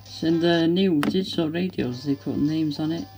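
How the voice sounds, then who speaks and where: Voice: medium pitch, moderate pace, over music. A vlogger casually demonstrating products in plain, simple informal phrasing. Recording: noisy home camera recording.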